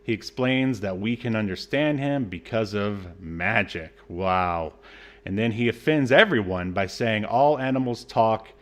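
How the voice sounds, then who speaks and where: A man's voice: lowish voice, unhurried, fairly bright tone. A man co-hosting a podcast, recapping a film in a casual, mocking and sarcastic way. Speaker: podcast co-host recapping a movie.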